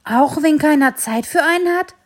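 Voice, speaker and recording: A woman voicing a big, cheeky male dog who is quick to take offence, in a children's story. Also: sits high, voice pushed up, lightly acted. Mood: sheepish. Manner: excited, indignant exclamations.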